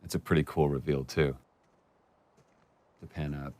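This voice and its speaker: A man in a relaxed, appreciative commentary chat, speaking as an actor about his own work on set. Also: rumbling low, moderate pace.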